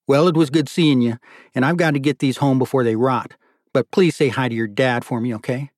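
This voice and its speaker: A man voicing a friendly, retired older man, gentle and plain-spoken, in an audiobook story. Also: mid-range voice, fairly even intonation, fairly quick, dry recording, studio quality, lightly acted. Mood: kindly, encouraging.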